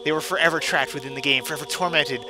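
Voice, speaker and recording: A man's voice: on the high side, fairly even intonation, quick pace, noisy background. A man doing casual game commentary and mock-reading a story aloud, with a sarcastic, unimpressed manner. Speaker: gaming streamer and host.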